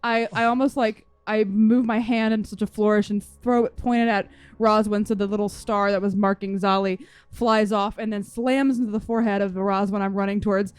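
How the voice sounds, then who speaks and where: A woman's voice: medium pitch, fairly even intonation, fairly quick, clear, resonant tone, dry recording. A woman playing a tabletop game, casually chatting and describing what her character does.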